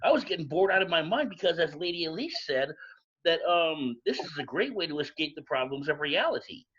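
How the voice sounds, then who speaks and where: Man, raspy and fairly high, casual storytelling, dull stream sound. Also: expressive, brisk, a bit bright. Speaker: volunteer voice actor. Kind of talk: casual panel chat on a live stream. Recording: dull, lo-fi online stream audio.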